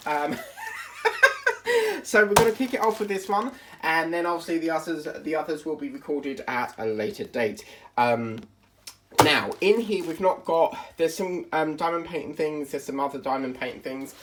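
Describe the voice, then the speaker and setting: A British man with a croaky, bunged-up voice from a cold, hosting a casual unboxing chat and rambling with lots of ums. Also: a little high, sing-song, normal speed, noisy background. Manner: chatty and rambling, many ums. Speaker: crafting channel host.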